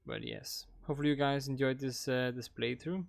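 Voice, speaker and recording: Gravelly young Danish-accented man. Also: mid-range voice, steady tone, a little fast. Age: young adult. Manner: rambling, hesitant, with many fillers and restarts. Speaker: gaming channel host. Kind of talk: casual commentary wrapping up a playthrough. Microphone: desk microphone.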